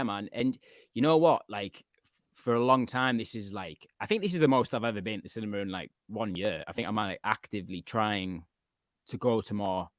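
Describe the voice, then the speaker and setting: A man hosting a live film podcast, chatting casually with lots of fillers and lively storytelling. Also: fairly low pitch, no room sound, clean studio sound. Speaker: podcast host. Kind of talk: casual chat on a live stream.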